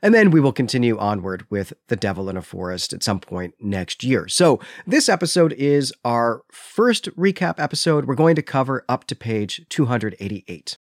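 A man talks as a podcast host recapping and discussing books. His delivery is measured and explanatory, in a mid-pitched voice. The sound is close and dry, with no room around it. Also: very animated, dry recording.

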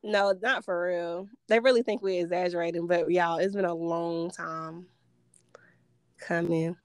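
A woman's voice: fairly low pitch, fairly even intonation, unhurried, clear, resonant tone, no room sound. A laid-back woman co-hosting a casual podcast, her voice a little nasal while she recovers from a sinus infection. She talks easily and uses plenty of slang. The sound is dry and close, with almost no room. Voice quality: slightly nasal. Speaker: podcast co-host. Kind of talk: casual chat.

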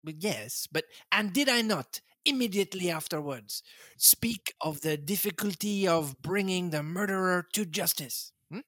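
A man's voice: high-pitched, animated, measured pace, a bit bright, no room sound, pitched above the performer's own voice, full character voice. Man playing fussy old detective, put-on French accent, coaxing hmms, dry sound. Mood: patient. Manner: coaxing, ends lines with a questioning hmm. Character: fussy elderly male Belgian detective.

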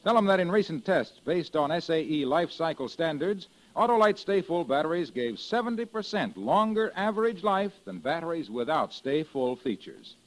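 An upbeat salesman in a vintage radio commercial. He is persuasive and brisk, with a fairly high voice and lively, swinging pitch. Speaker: announcer and sponsor pitchman. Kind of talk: 1950s radio commercial sketch.

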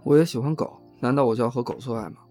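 A man's voice: mid-range voice, racing, mellow, soft background music, lightly acted. A man in an audio drama playing a reserved, practical young man, speaking in a terse, understated way. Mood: defiant. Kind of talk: Chinese audio drama acting.

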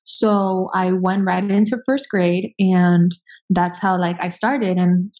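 A woman in her thirties, born in Venezuela, telling her story as a podcast guest in chatty, quick run-on sentences with many fillers. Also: fairly low pitch, fairly even intonation, darkish tone. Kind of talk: casual conversational interview.

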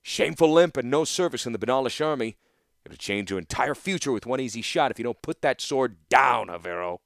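A man voicing a stern veteran weapons master who gives calm, commanding orders. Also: mid-range voice, a little fast, gravelly, no echo, clean studio sound, pitched above the performer's own voice. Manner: calm, commanding, dryly threatening.

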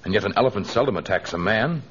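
An actor playing a kindly, protective and resolute jungle hero in an old radio adventure serial. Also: male voice, on the low side, expressive, quick pace, fairly bright tone. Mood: grave, urgent. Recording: dull, noisy vintage radio transcription.

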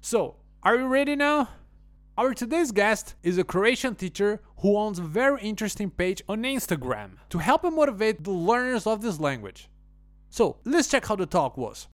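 Male podcast host, high voice, dry close sound, scripted intro into interview questions. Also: sing-song, normal speed, no room sound.

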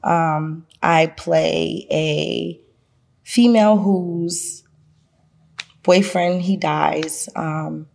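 A woman's voice: low-pitched, very slow, vocal fry. A woman with a heavy Boston accent, a guest talking casually and laughing often, with plenty of ums. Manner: lively, laughing, many ums. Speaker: guest, actress. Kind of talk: casual interview chat.

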